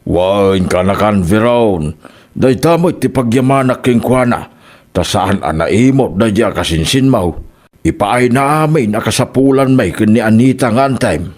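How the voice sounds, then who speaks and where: A man's voice: on the low side, measured pace, a little mellow, close to an everyday voice. A man playing an elderly, plain-spoken, doting father in an Ilocano comedy radio drama, with an Ilocano Filipino accent. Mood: resigned, patient.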